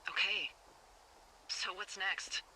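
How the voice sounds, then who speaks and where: An actress playing a wry, watchful fire lookout in a video game, talking conversationally and sardonically over a two-way radio. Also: female voice, medium pitch, fairly even intonation, slow pace, noisy background. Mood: uneasy. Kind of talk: naturalistic video game dialogue.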